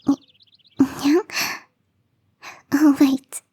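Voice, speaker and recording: Woman acting a high, breathy, half-whispering catgirl gardener. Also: flat delivery, drawn-out words, warm tone. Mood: curious, teasing. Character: shy, playful young catgirl gardener at a mansion. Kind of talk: ASMR roleplay, intimate one-sided dialogue.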